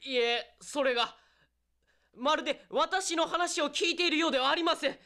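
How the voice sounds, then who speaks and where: A woman's voice: high voice, moderate pace, pushed and bright. A woman playing an earnest, fervent envoy in a radio drama, speaking formally and theatrically. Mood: troubled reporting. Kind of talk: radio drama acting, formal diplomatic speech.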